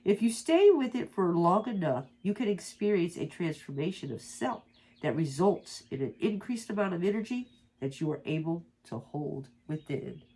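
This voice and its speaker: Woman, congested, husky, low-pitched, soft-spoken reading voice. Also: very animated, normal speed, rough recording. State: congested from allergies. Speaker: oracle card reader. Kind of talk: reading aloud from a guidebook with asides.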